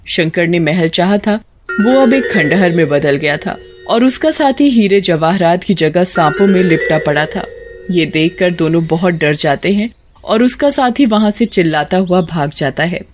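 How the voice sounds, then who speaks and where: A woman's voice: on the low side, animated, normal speed, soft background music. A woman reading scripted narration for a children's moral story as the storyteller.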